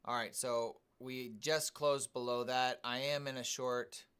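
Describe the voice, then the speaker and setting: A man hosting a live day trading stream, calmly commenting on his trades and greeting viewers in the chat. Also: medium pitch, measured pace, clear, resonant tone. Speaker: day trading livestream host.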